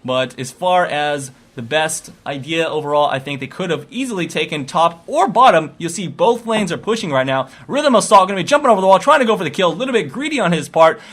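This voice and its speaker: Higher-pitched man calling a game match. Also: animated, brisk, few pauses, fairly bright tone. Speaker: esports commentator. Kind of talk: live video game match commentary.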